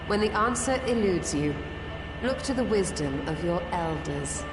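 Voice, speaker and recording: A woman voicing a composed, dryly witty sorceress in a video game, with formal, measured speech. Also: on the low side, animated. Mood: wry. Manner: formal, measured, drily teasing. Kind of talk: video game cutscene acting. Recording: echoey, distant, noisy background.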